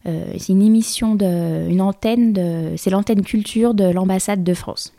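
Gravelly, mellow-voiced woman in her thirties. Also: mid-range voice, unhurried. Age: 30s. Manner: hesitant, many fillers and restarts. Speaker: interview guest. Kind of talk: conversational interview.

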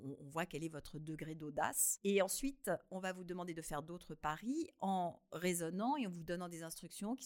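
Animated, hesitant woman guest. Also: fairly low pitch. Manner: expressive, laughing, loud bursts of emphasis; talks with many hesitations and repeated words. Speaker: guest author. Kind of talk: radio interview conversation.

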